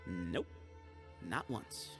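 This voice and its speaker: Sly, animated young desert rogue. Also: male voice, deep voice, drawn-out words, heavily acted. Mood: nonchalant. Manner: teasing, nonchalant, smug. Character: sly, cocky young desert man, playfully evasive. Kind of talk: video game voice acting. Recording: faint music behind.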